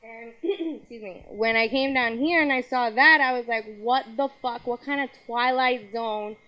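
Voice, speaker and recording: A woman's voice: fairly high pitch, normal speed, sharp tone, poor audio. Candid, laughing young woman guest. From Colombia, raised in New York. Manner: candid, laughs often, swears freely. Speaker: interview guest, music figure. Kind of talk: casual interview chat.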